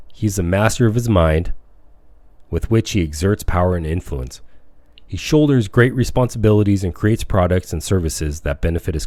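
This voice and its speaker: A man reading a self-help audiobook as scripted narration, with measured, declamatory phrasing. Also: deep voice, darkish tone, dry recording. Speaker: audiobook reader.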